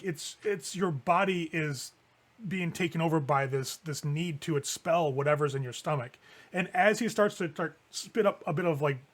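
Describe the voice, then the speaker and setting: Male game master, higher register, conversational horror narration for a tabletop game. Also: fairly bright tone. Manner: casual, conversational improvised narration with swearing.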